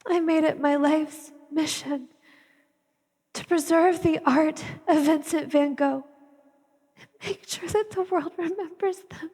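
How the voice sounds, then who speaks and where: Woman acting a slow, solemn widow on stage. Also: high voice, steady tone, a little mellow. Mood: resolute. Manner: deliberate, solemn, declamatory. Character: grieving determined widow, sister-in-law preserving a painter's legacy. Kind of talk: theatrical stage monologue. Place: theatre stage. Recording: stage hall with long reverb.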